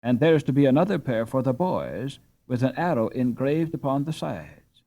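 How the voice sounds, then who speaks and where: A man voicing a kind, well-bred girl of nearly fourteen in a storybook, gentle, polite and earnest. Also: mid-range voice, lively intonation, moderate pace. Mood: quiet, assured.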